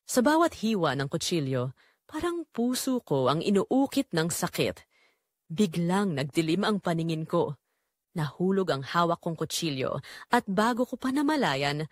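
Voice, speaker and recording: A woman's voice: medium pitch, dry recording, studio quality. A woman playing a dying, long-suffering mother, narrating her own audio drama in a solemn, pleading, tender way. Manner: solemn, sorrowful, pleading storytelling.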